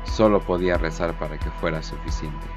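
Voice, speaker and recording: A low-pitched man narrates a dark war story in a solemn, deliberate manner. Music plays clearly behind his scripted, dramatic reading. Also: steady tone.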